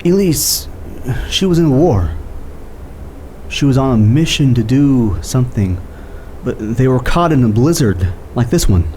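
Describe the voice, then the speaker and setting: A doubting detective partner in an audio drama, voiced by a man. He questions and pushes back in a mellow, mid-pitched voice. Faint hiss lies behind him. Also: animated, measured pace, long pauses, close to an everyday voice. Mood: questioning. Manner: skeptical, questioning.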